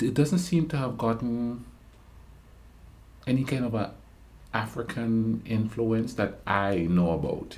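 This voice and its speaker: A man from a Caribbean island hosting a reaction video, chatting casually in a relaxed, rambling way. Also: sits low. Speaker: reaction channel host. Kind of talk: casual chat.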